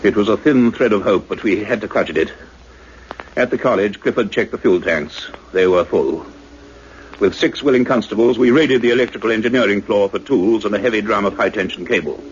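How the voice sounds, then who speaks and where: A man playing an earnest scientist doctor in a radio play, narrating his own adventure in a measured, suspenseful, literary way. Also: fairly low pitch, close to an everyday voice. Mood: grave suspense. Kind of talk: dramatic first-person radio play narration. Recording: dull noisy radio play recording.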